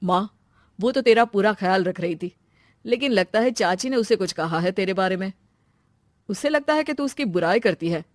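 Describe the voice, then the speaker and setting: Woman playing young husband in a family story, easygoing and casual, medium pitch, dry audio. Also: lively intonation, moderate pace, dry recording, natural voice. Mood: conciliatory. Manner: casual, reasoning. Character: young married son, easygoing, teasing, peacemaking. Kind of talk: family moral-story acting.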